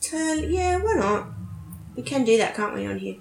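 A woman's voice: high-pitched, very animated, normal speed, gravelly. A woman in her thirties chatting informally as a podcast co-host, talking like an enthusiastic fan. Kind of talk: casual chat. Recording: echoey room, noisy background, clicks.

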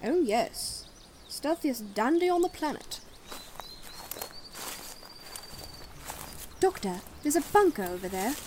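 A woman's voice: high voice, sing-song, normal speed, a little mellow, a little hiss or hum. A woman playing a plucky, inquisitive young journalist with a cheeky streak in an audio drama. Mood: teasing. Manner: inquisitive, teasing, outspoken.

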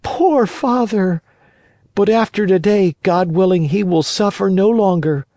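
Man playing a high-voiced, earnest puppet boy. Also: unhurried, dark tone, no room sound, clean studio sound. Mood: eager, hopeful. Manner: eager, guileless. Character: naive, earnest little wooden puppet boy.

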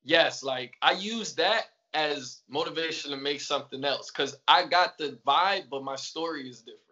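A man's voice: a little high, normal speed, bright, forceful tone, muffled recording. A man from Atlanta with a Southern accent, a rapper talking as a podcast guest in a laid-back way. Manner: laid-back, repeats yes often. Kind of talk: casual interview chat.

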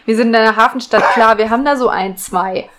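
A woman's voice: on the high side, fast, a bit bright. A woman in casual podcast chat, explaining things with some hesitation as a co-host.

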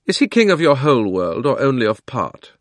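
A man reading an audiobook who voices a proud, imperious witch queen, speaking slowly and with scorn. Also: mid-range voice, big pitch swings, no echo, clean studio sound, pitched above the performer's own voice, close to an everyday voice. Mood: haughty. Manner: slow, commanding, scornful delivery. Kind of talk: audiobook character voice.

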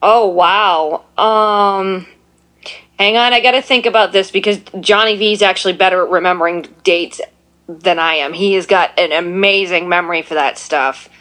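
Chatty woman with a deep voice. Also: moderate pace, fairly bright tone. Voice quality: deeper voice for a woman. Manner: chatty, self-deprecating, talks at length. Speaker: guest. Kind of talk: casual chat.

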